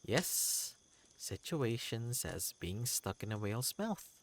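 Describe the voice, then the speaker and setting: Eccentric wandering creature, male performer, fantasy game. Proud, courteous, theatrical storyteller; unhurried, with big leaps in pitch. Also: very animated, heavily acted. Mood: excited, boastful. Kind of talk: character voice in a fantasy game reading.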